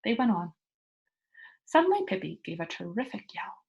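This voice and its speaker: A woman reading a children's storybook aloud as an expressive narrator. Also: medium pitch, normal speed, vocal fry, slightly rough, lo-fi sound. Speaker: storybook reader.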